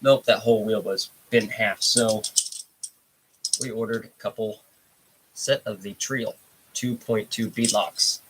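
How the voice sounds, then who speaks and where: A man's voice: fairly low pitch, steady tone, a little slow, long pauses, a bit bright, a little hiss or hum, low-quality recording. Stuffy-nosed man chatting on a live stream. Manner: laid-back, rambling, reads out chat comments. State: congested, stuffy nose. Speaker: livestream host. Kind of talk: casual live stream chat.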